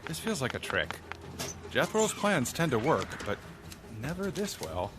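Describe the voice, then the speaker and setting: A man's voice: fairly high pitch, big pitch swings, a little slow, background noise. An actor playing a cautious male sidekick sleuth in an audio drama, dry and a little hesitant. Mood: wary, wry.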